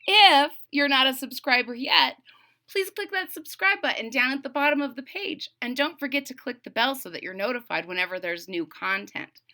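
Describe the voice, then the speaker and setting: A woman's voice: high voice, animated, moderate pace, sharp tone. A woman reading a children's story aloud, expressively, with simple explanatory asides for young listeners.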